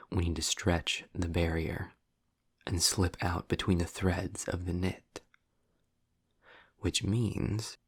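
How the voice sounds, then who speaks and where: Low, gravelly sarcastic demon, acted by a man. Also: no room sound, studio quality, heavily acted. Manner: sardonic, wordy, deliberate with dramatic pauses. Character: sarcastic, impatient male demon, wry and erudite. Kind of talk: scripted audio roleplay, addressed to the listener.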